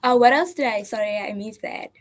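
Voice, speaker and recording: A woman's voice: fairly high pitch, fairly quick. A young woman from Zimbabwe, a trainee accountant answering interview questions casually with frequent fillers and laughter. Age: 20s. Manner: giggly, lots of "like" fillers.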